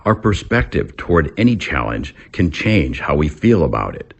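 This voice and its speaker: A man reading an English lesson aloud in a deliberate, instructional way, as a teacher does. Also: very deep voice, sing-song, vocal fry, breathy edge, muffled recording.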